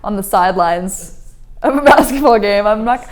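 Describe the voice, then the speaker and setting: A woman's voice: mid-range voice, lively intonation, moderate pace, background noise. A young woman talks through her picks in a chatty, giggly way. She sounds amused with herself and keeps the tone loose and casual. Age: young adult. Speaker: student guest player. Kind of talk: casual chat.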